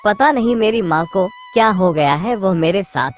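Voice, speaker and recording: A woman's voice: medium pitch, animated, normal speed, natural voice. A woman voicing a meek, hungry, overworked stepson in a moral-story cartoon, plaintive and submissive, with music underneath. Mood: plaintive, weary.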